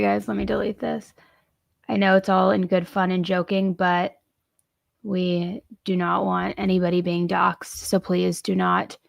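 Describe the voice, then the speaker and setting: A woman with a hoarse voice and plenty of gravelly fry chats informally to her livestream. The sound is dry, with hardly any room around her, and she comes across as a casual commentator. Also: on the low side, dry recording. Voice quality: vocal fry. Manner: chatty, informal. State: hoarse, feeling sick. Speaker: true crime livestream host.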